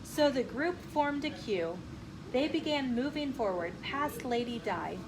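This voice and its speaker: A woman with a fairly high voice reads a picture book aloud for children. Her delivery is expressive and deliberate. The recording has noticeable ambient noise in the room. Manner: animated, deliberate storytelling with acted dialogue. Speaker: children's story reader and channel host. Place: inside a bookstore. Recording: clearly noisy room with ambient sound.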